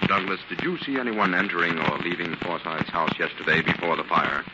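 An actor playing a brisk, commanding crime-fighting detective in 1940s radio drama style, firm and clipped. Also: male voice, deep voice, natural voice. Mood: businesslike. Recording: noisy, muffled 1940s radio recording with clicks.

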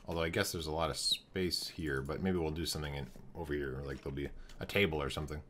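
Young male streamer, very low voice turning hoarse, casual think-aloud commentary at a desk mic, light keyboard noise. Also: steady tone, quick pace, a bit bright. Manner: thinking aloud while building, greeting chat viewers. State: losing his voice. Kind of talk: live gameplay commentary.

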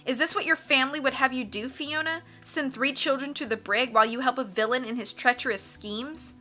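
An earnest young boy from a storybook , read by a woman. He reasons insistently, with an indignant edge and a crisp, very bright tone. Music can be heard with the voice. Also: high-pitched, brisk, pitched above the performer's own voice. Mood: reproachful.

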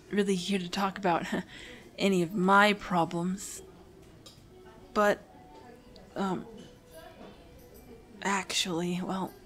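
A woman's voice: mid-range voice, a bit bright. A woman playing a shy, nervous teenage hero-in-training in a romantic audio roleplay, speaking in a hesitant, apologetic, rambling way. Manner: hesitant, stammering, apologetic, prone to anxious rambling.

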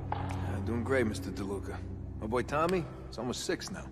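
A man's voice: mid-range voice, animated, moderate pace, over music, natural voice. An actor playing a chummy but officious male prison guard in a game, folksy and bossy. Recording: long echoing prison-hall reverb.

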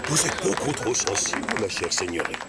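An actor playing a pompous, scheming, tyrannical military commandant in a cartoon, grandiose and theatrical. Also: male voice, lowish voice, big pitch swings, a little fast, gravelly, heavy background noise. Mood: suave, condescending. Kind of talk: animated cartoon dubbing.

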